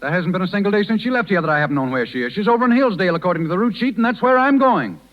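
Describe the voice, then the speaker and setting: An actor playing an earnest, principled small-town doctor with a direct manner in a 1930s radio drama. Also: male voice, sits high, lively intonation, racing, music in the background. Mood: resolute. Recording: muffled, lo-fi 1930s radio recording.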